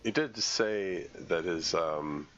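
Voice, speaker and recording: A man streaming a game, congested from a cold, commenting live and thinking aloud in a halting way as he works through puzzles. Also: low voice, fairly bright tone, muffled recording, slight background noise.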